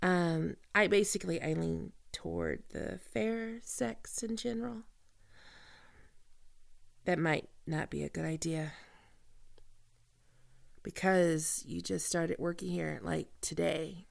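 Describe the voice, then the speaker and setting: Woman acting a creaky-voiced, flustered, flirty team leader. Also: fairly low pitch, animated, heavily acted. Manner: halting, awkward, intimate, addressing the listener. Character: flustered, flirty female team leader falling for a new coworker. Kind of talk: ASMR roleplay audio drama, one-sided conversation.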